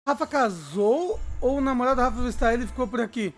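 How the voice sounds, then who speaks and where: A man's voice: very high voice, animated, faint music behind. A man hosting a casual gaming livestream, bantering playfully with his co-host and chat. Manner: playful, bantering, quick to laugh. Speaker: streamer host.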